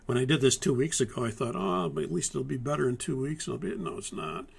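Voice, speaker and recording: A man teaching a live-streamed airline pilot course in a relaxed, methodical way, hoarse from a lingering virus. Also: mid-range voice, quick pace. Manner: methodical, conversational, many fillers and restarts. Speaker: flight instructor. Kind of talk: live-streamed training talk.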